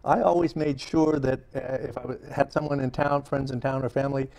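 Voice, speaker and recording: A male interview host with a mid-range voice, talking in an eager, loose way. He stumbles into his sentences with plenty of fillers and restarts, then picks up speed when something excites him. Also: mid-range voice. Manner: halting, many fillers and restarts; enthusiastic, chuckles often. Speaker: talk show host. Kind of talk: casual interview chat.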